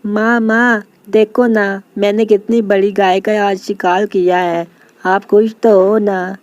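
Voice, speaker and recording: A timid little lion cub from a cartoon fable , voiced by a woman. He sounds earnest and eager to please, slightly raised in pitch, with a dry and close sound. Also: little pitch movement, takes it slowly, darkish tone, dry recording, lo-fi sound, close to an everyday voice. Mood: proud.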